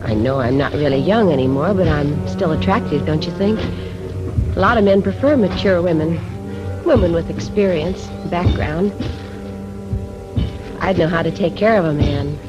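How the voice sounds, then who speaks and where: An actress playing a vain, needy thirty-eight-year-old murder suspect coming apart, in an old 1950s radio drama. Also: female voice, lowish voice, moderate pace, long pauses, dull audio, over music, close to an everyday voice. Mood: coy, wistful. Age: middle-aged. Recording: vintage 1950s radio recording.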